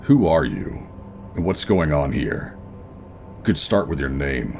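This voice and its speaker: Very deep-voiced, brooding covert operative. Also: male voice, sing-song, takes it slowly, gravelly, a little mellow, slight background noise, heavily acted. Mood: firm, calming. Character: hardened covert operative narrating, adult man, wary and steady. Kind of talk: first-person sci-fi horror audio drama narration. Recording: music behind the voice.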